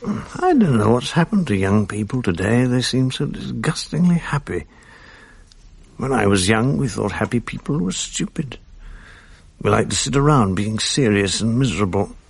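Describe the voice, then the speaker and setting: A man's voice: mid-range voice, very animated, normal speed, gravelly. A man playing a wry, world-weary, middle-aged English husband in a radio drama. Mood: wry, reflective. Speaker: actor, narrator of the drama. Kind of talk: 1980s British radio drama acting.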